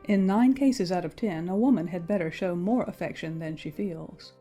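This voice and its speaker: Woman as pragmatic young period gentlewoman for audiobook drama: mellow, husky, measured and formal, music behind. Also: lowish voice, lightly acted. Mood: cool advice. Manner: measured, matter-of-fact, formal period diction. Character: pragmatic, worldly young gentlewoman, coolly advising.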